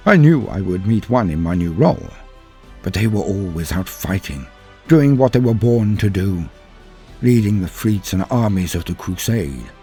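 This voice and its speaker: A man narrating a story in a solemn, theatrical, dramatic style with a husky voice and drawn-out phrases. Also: low-pitched, darkish tone. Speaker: storyteller narrating fan fiction. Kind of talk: dramatic scripted first-person narration. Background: music.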